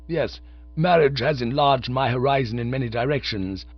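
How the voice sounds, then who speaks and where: A man's voice: mid-range voice, moderate pace, dry recording, voice pushed up, lightly acted. A man voicing a pompous, portly elderly lord who speaks in stately, formal, wordy phrases. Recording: dull lo-fi dry recording.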